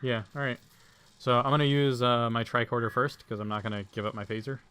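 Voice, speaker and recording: A man's voice: medium pitch, little pitch movement, a little fast, sharp tone, slight background noise. Casual man playing a tabletop game. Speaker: player.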